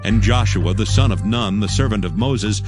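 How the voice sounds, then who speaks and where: A man narrating scripture from a script in a measured, formal manner. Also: fairly low pitch. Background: music.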